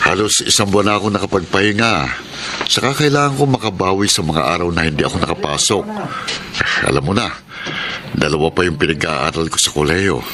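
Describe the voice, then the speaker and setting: An actor playing a gentle, devoted middle-aged father giving earnest advice in a Filipino radio drama. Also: low voice, a little fast, crowd noise, close to an everyday voice. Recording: radio drama with music underneath.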